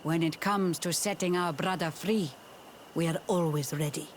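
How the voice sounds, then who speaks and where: An actor voices a proud, stern clan war leader in a video game. The voice is fairly high for a man, grave and resolute. Ambient noise is heard behind it. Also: steady tone, moderate pace, natural voice. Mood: grateful.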